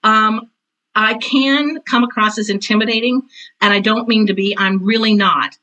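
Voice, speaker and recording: A woman's voice: on the high side, steady tone, moderate pace, sharp tone, highs cut off. An older woman talking casually as an interview guest, with a direct, intense and emphatic way of repeating phrases. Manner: self-described intense, direct. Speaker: guest, theater teacher and author.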